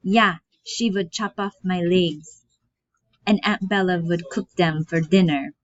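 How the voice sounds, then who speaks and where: A woman voicing an excitable, cheeky, mischievous young boy in a stage play. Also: fairly high pitch, a little slow, pitched above the performer's own voice. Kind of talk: reading a stage play aloud. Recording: dull, muffled lo-fi audio.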